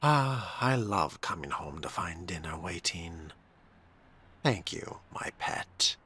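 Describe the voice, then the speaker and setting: A man voicing a suave, menacing vampire in a video game roleplay, with a measured, sardonic, theatrical delivery. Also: deep voice, animated, bright, forceful tone, slightly rough, heavily acted. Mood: silky, pleased. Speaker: player voicing the game's main character.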